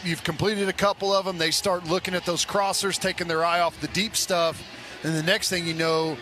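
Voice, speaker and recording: A man's voice: sits high, little pitch movement, brisk, sharp tone, slight background noise. An American man giving informal, explanatory color analysis on a live football radio broadcast.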